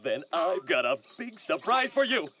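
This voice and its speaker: A man's voice: sits high, big pitch swings, normal speed, background noise. A man voicing a sly, easygoing jungle animal in a cartoon, knowing and inviting. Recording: loud music behind the voice.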